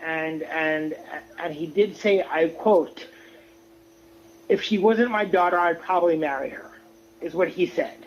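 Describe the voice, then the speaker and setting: A man giving an opinionated, casual rant on a livestream, halting and often repeating his words. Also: on the high side. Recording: muffled lo-fi audio with noise.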